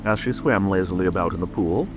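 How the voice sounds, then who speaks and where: A man's voice: low voice, quick pace. A man narrating a scripted storybook as a dramatic audiobook reading. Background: music, rain ambience.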